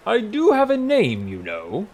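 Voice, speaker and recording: Man acting harried, grumpy resort manager, animated pitch. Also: normal speed, creaky. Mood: exasperated.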